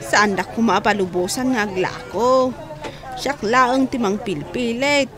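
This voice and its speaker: A woman's voice: on the high side, unhurried, close to an everyday voice. An actress playing a concerned, gossipy older widowed village woman in a radio drama. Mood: sympathetic. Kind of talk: Ilocano radio drama acting.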